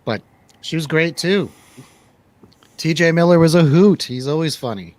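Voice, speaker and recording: A man's voice: a little high, animated, moderate pace, a little mellow, no echo. Jokey male radio host. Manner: jokey, playful. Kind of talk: casual movie review banter on a radio show.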